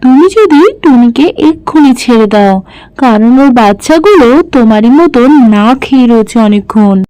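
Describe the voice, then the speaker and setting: Woman as a high-voiced, firm village milkmaid. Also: animated, moderate pace, warm tone, clear, resonant tone, no echo, lightly acted, poor audio. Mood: firm, caring. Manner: firm, bargaining. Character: kind but firm village milkmaid striking a bargain. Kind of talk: children's cartoon story voice acting.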